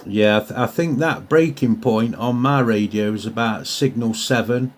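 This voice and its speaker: A man's voice: medium pitch, normal speed. A man from England with an English accent, chatting casually and a little hesitantly in CB radio jargon. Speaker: amateur CB radio operator. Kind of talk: casual radio contact chat. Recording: faint radio hiss and static.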